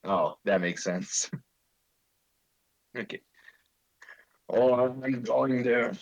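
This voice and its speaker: Slow-talking man chatting casually. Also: medium pitch, dull audio. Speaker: voice performer in a group story reading. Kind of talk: casual chat between acted lines.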